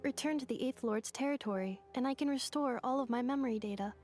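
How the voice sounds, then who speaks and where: A woman's voice: high voice, quick pace, a little mellow, faint music behind, close to an everyday voice. A woman voicing a polite robot translator in a video game, speaking in formal, clipped machine-like phrases. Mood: composed. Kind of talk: video game character acting.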